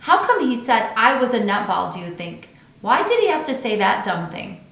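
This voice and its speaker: A woman's voice: fairly high pitch, big pitch swings, moderate pace, gravelly, fairly bright tone, exaggerated voice. A woman voicing a blunt, fretful young schoolgirl who narrates a children's storybook with childlike, plaintive emphasis. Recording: echoey untreated room.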